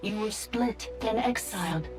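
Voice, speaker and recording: A woman's voice: medium pitch, a little slow, close to an everyday voice. A woman voicing a cryptic ancient automaton in a video game, solemn and single-minded, in formal machine-like statements. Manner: formal, declarative machine phrasing. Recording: loud music under the voice.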